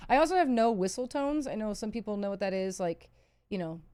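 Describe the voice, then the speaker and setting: A woman's voice: medium pitch, lively intonation, brisk, warm tone, clear, resonant tone, no echo. Casual woman streamer, dry close sound. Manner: candid, chatty, self-deprecating, swears freely. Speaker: livestreamer answering viewer questions. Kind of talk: casual livestream Q&A chat. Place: indoors, seated at a PC.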